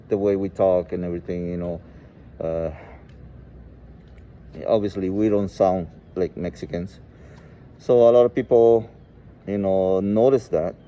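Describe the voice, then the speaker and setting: A man in his forties from El Salvador with a Salvadoran Spanish accent, telling his personal story informally and haltingly, often saying "you know". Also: sits low, mellow. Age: 40s. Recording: muffled, with clear background noise.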